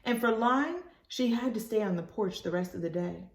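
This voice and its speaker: A woman reads a children's storybook aloud expressively, stopping for animated asides to her young listeners. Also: medium pitch, moderate pace, reverberant. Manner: animated, addresses listeners as friends.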